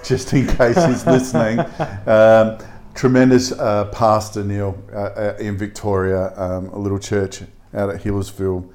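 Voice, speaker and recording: A man's voice: lowish voice, flat delivery, measured pace, a little mellow. A man who is a pastor, co-hosting a casual podcast discussion in an earnest, emphatic way with frequent fillers.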